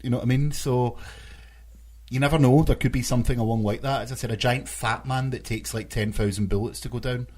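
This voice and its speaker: A man's voice: mid-range voice, fairly even intonation, brisk, a little mellow. A man co-hosting a podcast, talking in a casual, conversational style. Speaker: podcast co-host. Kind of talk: casual chat about games.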